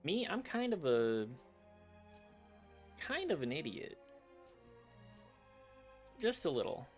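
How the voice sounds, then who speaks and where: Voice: mid-range voice, big pitch swings, takes it slowly. Casual: streamer rambling over gameplay, bouncy up-and-down voice, game music faint behind. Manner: chatty, self-deprecating, talks to the game.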